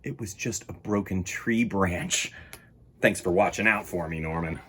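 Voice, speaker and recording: A man reading a storybook aloud as a young boy who is wary and then fond of his pet, with a husky voice and an expressive, dramatic delivery. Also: sits low, unhurried, slight background noise, pitched below the performer's own voice. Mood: fond, reflective. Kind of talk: animated storybook read-aloud.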